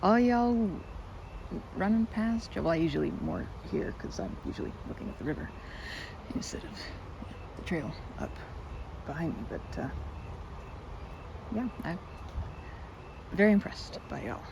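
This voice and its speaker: Casting: actress as shy, sweet young woman for ASMR roleplay; halting, self-correcting, lively pitch, gravelly fry, noisy ambience behind. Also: highs cut off, heavily acted. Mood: nervous, friendly. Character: timid, rambling, sweet young woman at a riverside. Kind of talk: ASMR roleplay, addressing the listener.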